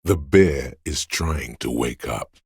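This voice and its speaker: A menacing, paranoid inner voice of raw survival instinct from a video game. It is extremely low and badly husky, goading the listener with profane, ominous warnings. The delivery is slow and deliberate. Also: male voice, animated, darkish tone, no room sound, studio quality, full character voice. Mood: ominous dread. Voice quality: deep, gravelly, husky rasp. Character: menacing, paranoid primal fight-or-flight instinct speaking inside a detective's head. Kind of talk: dramatic video game voice acting, second-person inner voice.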